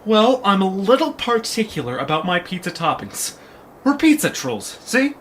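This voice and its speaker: A man's voice: high-pitched, very animated, normal speed, a bit bright. A man voicing a bossy, hungry, self-important troll in an audio drama. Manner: pompous, demanding. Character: bossy, hungry pizza troll leader, arrogant and picky. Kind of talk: cartoonish audio drama acting. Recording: faint background noise.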